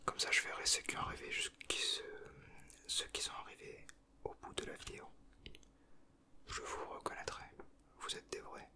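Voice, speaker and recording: A man whispering softly in an informal, slangy, rambling ASMR commentary with hesitations. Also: normal speed. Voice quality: soft, unvoiced whisper. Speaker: ASMR video creator, host. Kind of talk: whispered ASMR, casual flip-through commentary.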